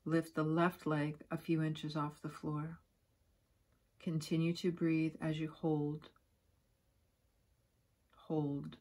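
A woman guiding a yoga nidra relaxation in a soft, breathy, soothing voice, giving gentle instructions with long pauses. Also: low voice, little pitch movement. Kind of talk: guided relaxation meditation.

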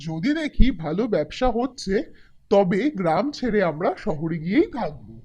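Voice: mid-range voice, lively intonation, moderate pace, darkish tone, breathy edge, lo-fi sound. An actor voicing a poor, naive, aging village peanut seller in a cartoon, in a simple, folksy, bashful manner. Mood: hopeful, weary. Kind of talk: Bengali cartoon voice acting.

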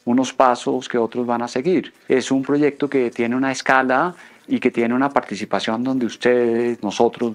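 A man in his fifties with a Colombian accent, giving an address to students in an informal but prepared way. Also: medium pitch. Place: small office indoors.

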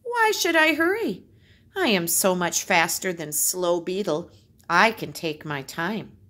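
A woman's voice: fairly low pitch, sing-song, unhurried, fairly bright tone, exaggerated voice. A woman voicing a boastful, mocking male jungle rodent in a storybook, bragging and exclaiming. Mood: smug. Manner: bragging, laughing scornfully, exclamatory.

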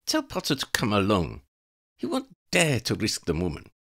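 A ruthless vampire woman gives her orders in a high, lifted voice that sits far above the man reading her's own register. She talks icily and imperiously, and her pitch swings widely from line to line. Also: huge pitch swings, moderate pace, gravelly, a bit bright, full character voice. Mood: scheming. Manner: icy, imperious. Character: cruel scheming female vampire, commanding. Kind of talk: fan fiction audiobook reading.

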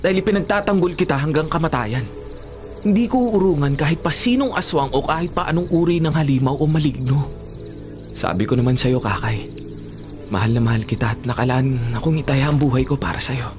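A young man's fairly high voice, performed by an actor in a Filipino radio drama. He is a brave, devoted boyfriend, earnest and melodramatic, with music behind him. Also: brisk, close to an everyday voice. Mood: devoted, resolute. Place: small radio studio. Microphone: studio condenser microphone. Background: music bed.

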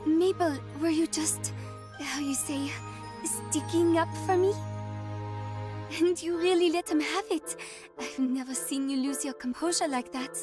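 Very high female voice, young chivalrous soldier, proud, formal, earnest, video game drama. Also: measured pace, over music. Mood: curious. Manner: earnest, formal, stately phrasing. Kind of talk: English game voice acting.

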